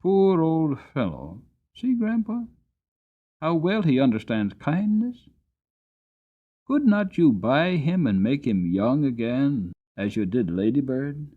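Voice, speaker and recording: An eager, tender-hearted young farm boy, acted out by a man. The voice is lifted high and swings up and down with earnest pleading. It is a dramatic storybook reading with slightly dull sound. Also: big pitch swings, slow. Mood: tender, hopeful. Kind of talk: dramatic audiobook character voice.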